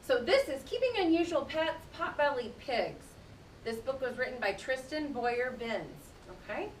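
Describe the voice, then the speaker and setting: Woman teacher doing a read-aloud for little kids, kinda high voice, far from the mic. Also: very animated, normal speed. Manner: explanatory, addresses young children directly. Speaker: teacher reading aloud to children. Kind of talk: classroom read-aloud with conversational explanations. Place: indoor room, speaking to a class. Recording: roomy, distant mic with rumbling noise.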